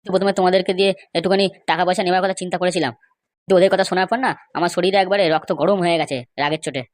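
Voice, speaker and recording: A woman's voice: on the low side, fairly even intonation, very fast, dry recording, clean studio sound, lightly acted. A woman playing an aggrieved, scheming male villager in a comedy cartoon, complaining in an emphatic, gossipy way. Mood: indignant. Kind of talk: amateur comedy cartoon dialogue.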